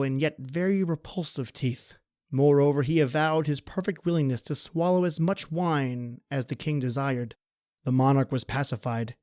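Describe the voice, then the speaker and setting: A man's voice: fairly high pitch, a little mellow, no echo. Male horror story narrator, dry sound.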